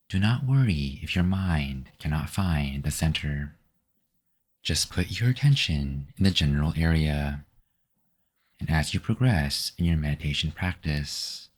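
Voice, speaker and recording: A man guiding a meditation with gentle, unhurried, scripted instructions. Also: extremely low pitch. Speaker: meditation guide. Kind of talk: scripted guided meditation narration.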